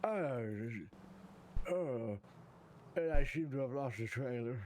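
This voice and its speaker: A man's voice: medium pitch, animated, slow, darkish tone. A man voicing a bumbling, self-important trucker in improvised game roleplay, with earnest, repetitive salesman patter. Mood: flustered. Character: bumbling, self-important male trucker hawking big business.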